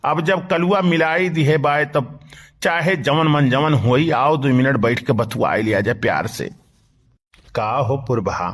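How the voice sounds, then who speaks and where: A man's voice: mid-range voice, breathy edge. Man playing a grumbling cartoon village husband. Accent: Awadhi. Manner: sweet-talking, grumbling. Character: flirtatious, hapless village husband, grumbling and scheming. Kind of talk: comic cartoon dialogue.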